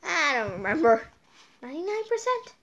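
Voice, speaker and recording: A woman's voice: high voice, big pitch swings, normal speed, fairly bright tone, dull audio. A woman hosting a casual storytime read-aloud, drifting off into playful, whimsical asides as she goes.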